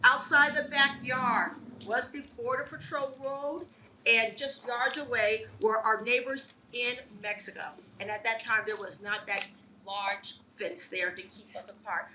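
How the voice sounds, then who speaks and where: A woman giving a prepared speech at a ceremony, telling her family's history in a measured, earnest way. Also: on the high side, bright, forceful tone, distant mic, slight background noise, low-quality recording. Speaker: ceremony speaker. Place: outdoor ceremony.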